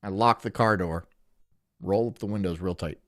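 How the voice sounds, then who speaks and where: A young man from Florida chatting casually on a live stream, rambling through jokes and deadpan absurd asides. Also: deep voice, moderate pace. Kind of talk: casual live-stream chat reacting to a video.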